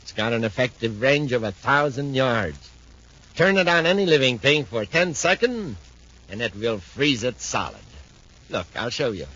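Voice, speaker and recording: Bright forceful voice, smug villainous inventor, animated delivery, old radio serial. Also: male voice, mid-range voice, normal speed, breathy edge, heavily acted. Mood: gloating. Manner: confident, gloating. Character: smug, self-assured male villainous inventor. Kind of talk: 1950s radio drama acting. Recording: muffled, faintly noisy 1950s radio recording.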